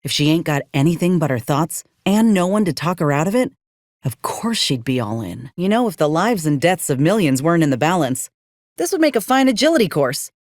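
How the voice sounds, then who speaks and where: A woman's voice: low-pitched, big pitch swings, fairly quick, darkish tone, no room sound. An actress voicing a plain-spoken, loyal young woman fighter in a video game, with a folksy, wry manner. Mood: gentle, somber. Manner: folksy, dry-witted. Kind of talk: video game companion voice lines.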